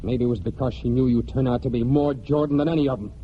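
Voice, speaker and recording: An actor playing a proud, hot-tempered young country man in 1940s radio drama, with folksy rural speech and dramatic pauses. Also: mid-range voice, a little hiss or hum, lightly acted. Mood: bitter, angry. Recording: dull 1949 radio recording, music behind.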